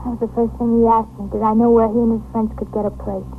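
An actress playing a nervous, evasive young American waitress under police questioning in a 1950s radio drama. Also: female voice, fairly high pitch, level tone, fast, natural voice. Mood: confiding, hesitant. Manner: defensive, hesitant, colloquial. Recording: noisy, tinny 1950s radio recording.

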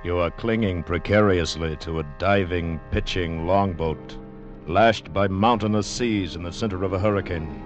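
An actor playing an ominous teller of perilous adventure, menacing and suspenseful, in a 1940s radio teaser. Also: male voice, low-pitched, normal speed, natural voice. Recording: muffled vintage radio, prominent music.

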